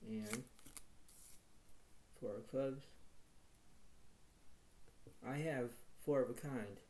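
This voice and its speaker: A man with a soft, quiet voice commenting on his own poker hands in a halting way, repeating himself and saying "wow". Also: medium pitch, slight background noise. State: slight tickle in throat. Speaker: poker video host. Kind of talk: casual play-by-play commentary.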